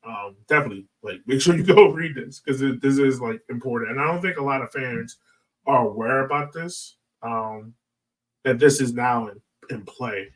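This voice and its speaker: A man's voice: mid-range voice, normal speed, far from the mic, low-quality recording. A man hosting a casual podcast discussion in a conversational way, often leaning on "like" and "you know". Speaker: podcast host.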